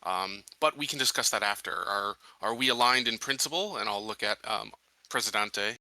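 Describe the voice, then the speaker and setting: A man with a low but very bright, forceful voice plays a tabletop roleplaying game. He improvises formal lines haltingly, picking his words as he goes. The sound is close and very dry. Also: dry recording, low-quality recording. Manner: halting, formal, measured wording.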